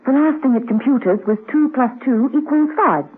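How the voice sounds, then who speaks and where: An actress playing a cool, dryly witty woman secret agent in a radio drama, clipped and deadpan. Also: high-pitched, a little fast, breathy edge. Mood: dry, wry. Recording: muffled vintage radio recording.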